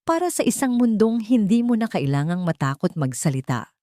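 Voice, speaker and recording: A woman's voice: mid-range voice, sing-song, moderate pace, dark tone, dry recording, studio quality, pitched above the performer's own voice, lightly acted. A woman voicing a resilient young mother and survivor who speaks steadily and earnestly in an audio drama. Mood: tender.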